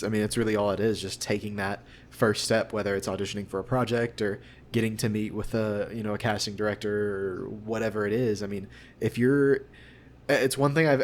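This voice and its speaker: An American man from central Arkansas chatting casually in an interview, thoughtful and rambling as he circles back on his phrasing. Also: on the low side. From central Arkansas, United States. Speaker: voice actor being interviewed.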